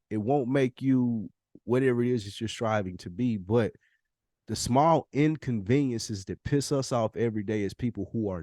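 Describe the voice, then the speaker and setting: A man's voice: medium pitch, darkish tone, no echo, studio quality. A man co-hosting a podcast, arguing his side bluntly and swearing in casual chat. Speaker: podcast co-host.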